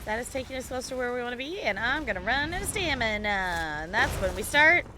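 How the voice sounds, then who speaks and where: A woman's voice: high voice, lively intonation, fast talker, background noise. A woman chatting casually on a gaming livestream, playful and self-deprecating, with theatrical exclamations. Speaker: live streamer.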